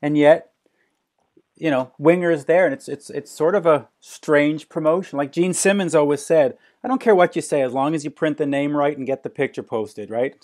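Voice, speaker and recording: Chatty man interviewer, slightly high voice. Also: normal speed, darkish tone. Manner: chatty, leading questions, repeats words. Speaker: interviewer, rock journalist. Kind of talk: casual interview.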